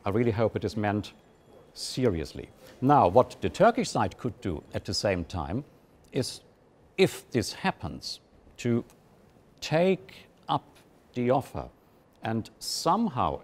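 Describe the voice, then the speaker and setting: A man from Germany, giving measured, deliberate political analysis on a live news panel. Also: medium pitch, a little mellow. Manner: measured, deliberate, with emphatic rises.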